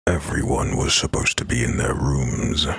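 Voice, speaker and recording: An actor playing a deranged, sadistic killer who taunts in a menacing, sing-song half-whisper in a dark fantasy audio drama. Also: male voice, rumbling low, steady tone, moderate pace, creaky, slightly rough, dry recording, clean studio sound, exaggerated voice. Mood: cold menace.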